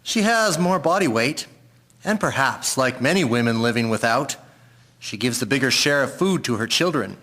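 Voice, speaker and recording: A man's voice: mid-range voice, expressive, moderate pace, sharp tone. A man playing a matter-of-fact country doctor of the early 1900s in a radio drama. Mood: assured, dismissive. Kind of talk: scripted radio-drama acting.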